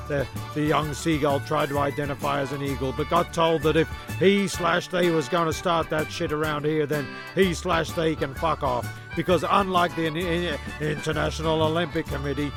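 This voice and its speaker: A man's voice: a little high, gravelly. A man playing a grumpy, rambling, foul-mouthed narrator of a comic audio serial, stumbling over his words. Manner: stumbling, cynical, sweary.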